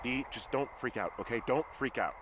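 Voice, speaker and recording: An American actor playing an earnest, dryly humorous male fire lookout in a video game, speaking in a conversational, halting way. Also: mid-range voice, background noise, natural voice. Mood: earnest, reassuring. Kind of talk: naturalistic video game voice acting.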